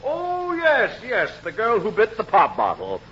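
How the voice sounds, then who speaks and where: Brisk, high-voiced, animated dentist. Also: male voice, exaggerated voice. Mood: brisk, jovial. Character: brisk, jovial male dentist. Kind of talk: 1940s radio comedy acting. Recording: muffled vintage radio with faint noise.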